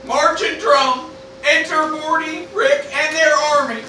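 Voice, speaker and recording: A woman's voice: high-pitched, measured pace, pushed and bright. A woman narrator reading out scripted stage directions in an amateur radio play. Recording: very echoey, slightly distant, faint noise.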